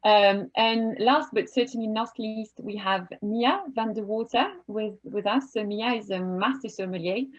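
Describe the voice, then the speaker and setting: A woman's voice: mid-range voice, measured pace, hardly any pauses, highs cut off. A woman hosting an online panel from home over a video call, friendly and chatty, with many fillers and restarts. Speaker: webinar host and moderator. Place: home office.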